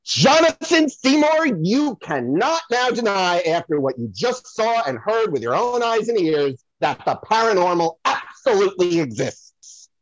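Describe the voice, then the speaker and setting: A woman's voice: mid-range voice, very animated, measured pace, few pauses, no room sound. A woman playing a brash, foul-mouthed, rambling celebrity psychic in a comedic podcast audio play. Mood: breathless, awed. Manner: theatrical, digressive, addressing the host by name. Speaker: guest playing a comic psychic. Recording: dull lo-fi dry audio.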